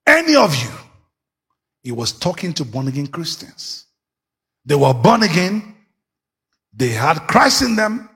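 A male pastor preaching a sermon, dropping his voice low and then bursting into shouts. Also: husky. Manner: preaching with sudden shouts and dramatic swings in pitch.

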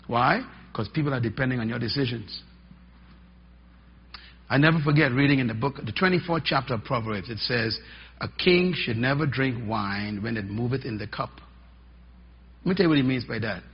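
Middle-aged male pastor, husky, emphatic folksy sermon delivery. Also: mid-range voice, normal speed, long pauses. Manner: emphatic, rising and dropping for effect, folksy asides. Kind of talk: sermon teaching. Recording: dull lo-fi sermon recording.

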